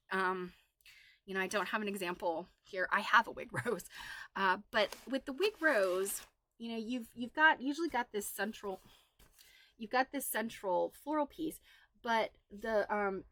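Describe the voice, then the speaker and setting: A woman's voice: mid-range voice, pushed and bright. A woman hosting a casual livestream, chatting and reading aloud in an enthusiastic, exclaiming way. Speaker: livestream host.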